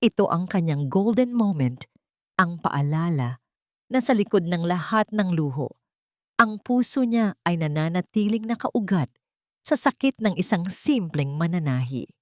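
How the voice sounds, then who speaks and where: A woman with a Filipino accent reads a dramatic story as an audiobook narrator. Her voice sits a little low, and the recording is dry, with no room around it. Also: animated, a little slow, dry recording.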